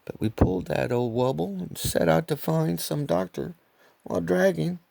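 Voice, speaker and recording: Gravelly older man reading a storybook. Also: a little high, normal speed, warm tone, no echo. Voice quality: gravelly, husky. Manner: rambling, repeats phrases, ad-libs. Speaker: grandfather reading to his grandson. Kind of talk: animated improvised storybook reading.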